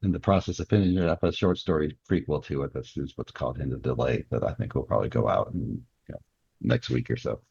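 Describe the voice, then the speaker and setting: A man's voice: rumbling low, fast talker, gravelly, darkish tone, slightly rough, muffled recording. A man answering as an interview guest and author, explaining things in a casual, conversational way.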